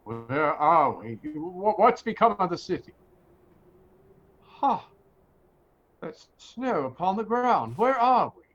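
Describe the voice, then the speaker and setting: An actor playing a grumpy, frightened old miser in a radio drama, stammering and exclaiming. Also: male voice, high voice, sing-song, takes it slowly, long pauses, a bit bright, full character voice. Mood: amazed. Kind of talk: theatrical radio drama acting. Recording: dull lo-fi sound with noise.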